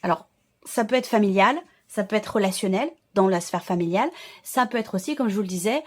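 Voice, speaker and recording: A woman's voice: medium pitch, fairly quick. A woman giving an informal tarot reading to viewers, explaining in a reassuring way while searching for words and repeating herself. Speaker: tarot reader and channel host.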